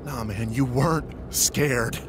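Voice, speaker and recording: An actor playing a loyal, excitable cop sidekick in a comedic audio drama, rambling earnestly in slangy language. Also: male voice, medium pitch, slow pace, background noise, close to an everyday voice. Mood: insistent hype.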